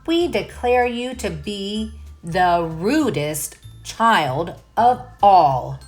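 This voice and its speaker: A woman's voice: mid-range voice, expressive, extremely slow, soft background music. A woman voicing a polite, formal storybook goblin who cares about manners, in emphatic declarations. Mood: grand announcing. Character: polite, proper goblin host, fond of manners.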